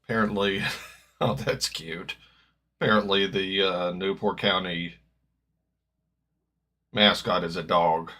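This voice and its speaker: A man with a slightly gravelly voice, chatting casually as he reacts live to a football match, rambling and correcting himself as he goes. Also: sits low, steady tone, slow pace, long pauses, pushed and bright. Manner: rambling, self-correcting, hopeful fan. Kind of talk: casual live-stream chat.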